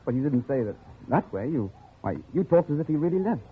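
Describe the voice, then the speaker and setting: An actor playing an educated, polite and weary traveller stranded in the jungle, in a radio drama. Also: male voice, a little high, big pitch swings, a little fast, husky, exaggerated voice. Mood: uneasy. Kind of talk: 1950s radio drama acting and first-person narration. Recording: thin, lo-fi old radio recording.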